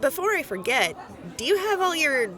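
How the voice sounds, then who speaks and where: A woman's voice: high voice, very animated, moderate pace, bright, forceful tone, a faint crowd, exaggerated voice. A woman voicing a cheerful, gossipy clerk going over paperwork in an audio drama. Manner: bubbly and gossipy.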